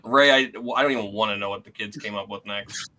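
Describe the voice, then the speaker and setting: A man's voice: on the low side, fast, bright, forceful tone, a little hiss or hum. A man hosting a comedy game show with casual, joking banter.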